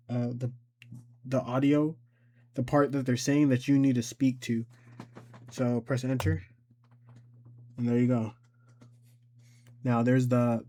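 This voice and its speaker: A man giving an informal step-by-step software tutorial, thinking aloud and somewhat hesitant as he goes. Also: mid-range voice. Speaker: tutorial host.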